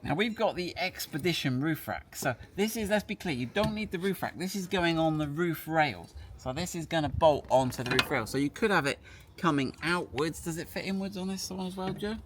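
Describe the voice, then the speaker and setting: A man's voice: on the high side, animated, a little fast. A man giving a casual hands-on product demonstration, chatting and thinking aloud as he works. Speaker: product presenter. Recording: clear background noise.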